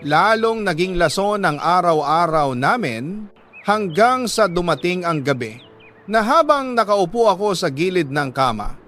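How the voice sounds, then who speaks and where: A man with a Filipino accent reading a confessional letter as a radio storyteller, in an emotive, deliberate delivery with dramatic pauses. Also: sits high, big pitch swings, fairly bright tone, faint music behind.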